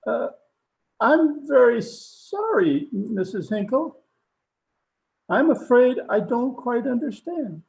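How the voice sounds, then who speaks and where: An actor as a deferential servant in an old radio play. The voice is gravelly and unhurried, with a swooping melody, always formal and apologetic. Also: mid-range voice, very animated, darkish tone, exaggerated voice, low-quality recording. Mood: polite, puzzled. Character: deferential household servant, polite and bewildered. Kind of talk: old-time radio drama acting.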